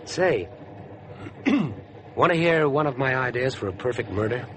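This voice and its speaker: A man's voice: animated, unhurried, natural voice. An actor in a 1950s radio drama playing a glib, overfamiliar, unhinged man who insistently coaxes. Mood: sly, coaxing. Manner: chummy, insistent, musing. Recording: noisy vintage radio recording, train rumble behind.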